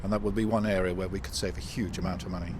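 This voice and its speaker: A man in his sixties, a retired academic, giving articulate, measured opinions in a street interview. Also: low-pitched, level tone, darkish tone, slight background noise. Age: 60s. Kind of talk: street vox pop interview. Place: outdoors on a street.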